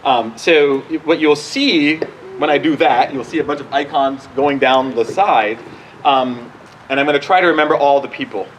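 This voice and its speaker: Guy in his 40s from barbados, bishop doing casual q&a outside, voice a bit high, stop-start talker, some noise behind. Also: a bit bright, poor audio. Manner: halting, conversational, often restarting sentences. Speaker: bishop answering questions. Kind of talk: informal question-and-answer talk. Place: outdoors at a church gathering.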